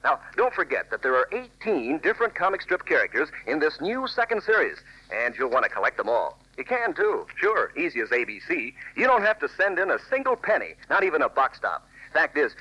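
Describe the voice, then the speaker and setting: Male 1940s commercial announcer: swooping, forceful sales pitch to youngsters, folksy, lo-fi old radio sound. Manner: enthusiastic, folksy, emphatic.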